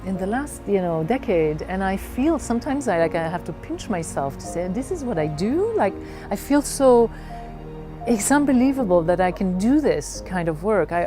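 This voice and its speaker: A middle-aged woman from Belgium telling her personal story in a reflective way, haltingly searching for her words. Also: mid-range voice. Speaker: leadership coach.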